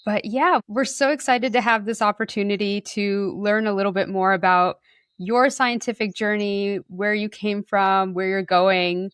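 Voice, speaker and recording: A woman's voice: mid-range voice, fairly even intonation, normal speed, a bit bright, clear, resonant tone, dry recording. Young woman hosting a science podcast, upbeat, friendly, dry close sound. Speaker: podcast host.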